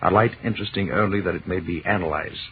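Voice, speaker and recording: An actor playing a wry, romantic astronomy professor and husband with florid, mock-pompous delivery in an old radio drama. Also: male voice, sits low, fast. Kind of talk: 1940s radio drama acting. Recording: muffled, noisy old radio recording.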